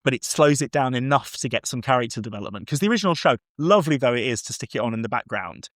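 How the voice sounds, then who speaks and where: A man chatting casually about films, acting out bits of dialogue as he tells it in an animated way. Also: mid-range voice, very fast, no room sound, studio quality. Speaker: podcast guest film reviewer. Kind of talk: casual, chatty film discussion.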